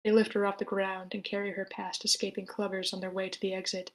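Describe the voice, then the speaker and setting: A woman's voice: mid-range voice, flat delivery, fast. A woman reading stage directions in a matter-of-fact way at a screenplay table read.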